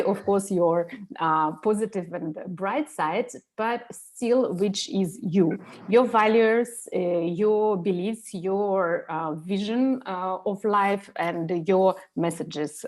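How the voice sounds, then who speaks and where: A woman's voice: mid-range voice, a little mellow. Hesitant woman co-host. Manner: thoughtful, hesitant, many fillers. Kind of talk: explanatory discussion.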